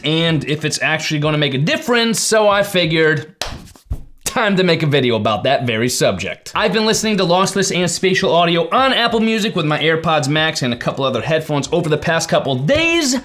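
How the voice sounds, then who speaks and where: Animated, higher-pitched man explaining tech. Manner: chatty, informal, emphatic. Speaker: tech video presenter. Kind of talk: explainer vlog to camera.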